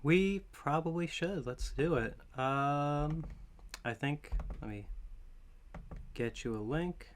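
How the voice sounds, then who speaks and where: A man's voice: on the high side, takes it slowly. A man chatting casually as a guest author on a podcast. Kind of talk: casual chat. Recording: clear background noise, keyboard typing and clicks.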